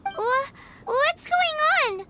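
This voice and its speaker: A woman voicing a tiny, cheeky, excitable fairy companion in a video game, childlike and exclamatory. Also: very high-pitched, very slow, very noisy, exaggerated voice. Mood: startled, puzzled.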